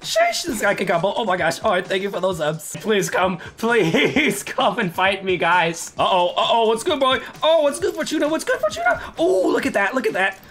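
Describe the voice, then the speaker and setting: Young male gamer, hoarse and cracking, lively bright delivery, constant laughter. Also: normal speed, few pauses. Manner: hyped, laughing, voice cracking up high. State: hoarse, losing his voice. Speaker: gaming streamer. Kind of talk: excited gameplay commentary. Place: home gaming setup, indoors.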